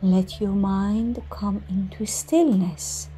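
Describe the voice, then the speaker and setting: Very slow-speaking woman guiding a meditation. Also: medium pitch, steady tone, warm tone, a little hiss or hum. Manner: soothing, instructing in short unhurried phrases with pauses. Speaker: meditation guide. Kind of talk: scripted guided meditation. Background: music.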